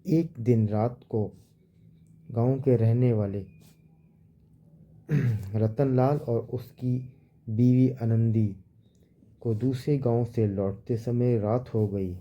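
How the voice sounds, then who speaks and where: Male narrator for horror tales. Unhurried, rough-edged delivery, slightly dull sound. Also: mid-range voice, steady tone. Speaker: story narrator. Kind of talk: scripted horror story narration in Hindi.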